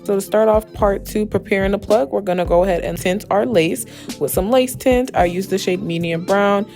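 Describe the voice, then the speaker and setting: Female tutorial host, young adult, slightly hoarse with some fry, chatty and emphatic, mid-pitched, music underneath. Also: normal speed, a little mellow. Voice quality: slightly raspy. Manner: chatty, emphatic, addresses viewers familiarly. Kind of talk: casual voice-over tutorial. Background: background music with guitar.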